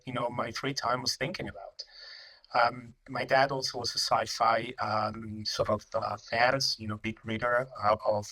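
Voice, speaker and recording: A middle-aged man from Italy speaks with an Italian accent, stopping often to search for words. His voice is bright and crisp, with little rise and fall. He talks like a company director chatting in an interview. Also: mid-range voice, rough recording. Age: 50s. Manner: halting, many ums and uhs, restarts. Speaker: company managing director, guest. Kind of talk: casual interview answers.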